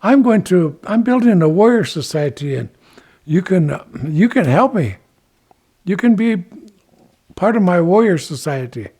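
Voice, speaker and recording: Folk-story hero, voiced by a male teller: eager boastful young warrior type, high swooping pitch, coaxing and exclaiming. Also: very animated, measured pace, mellow, heavily acted. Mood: excited, coaxing.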